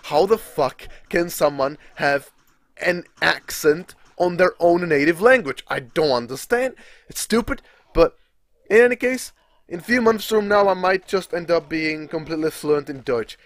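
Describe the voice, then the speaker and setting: A man's voice: a little high, measured pace, no echo. A young man from the Czech Republic with a Czech accent, rambling casually as he commentates a game, restarting sentences and swearing now and then. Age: young adult. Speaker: gaming commentator.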